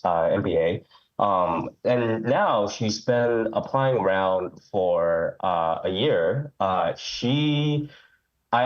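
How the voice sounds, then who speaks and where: A man's voice: deep voice, dull audio. A man calling in to a live show, speaking hesitantly with many ums and restarts, with a head cold. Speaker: caller. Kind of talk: call-in chat.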